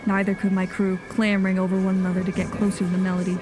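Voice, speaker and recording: A woman's voice: medium pitch, monotone, rapid-fire, background noise, lightly acted. A woman playing a grave, haunted nineteenth-century sea captain reading a log in solemn, formal diction for an audio drama. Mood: haunted, brooding. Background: music.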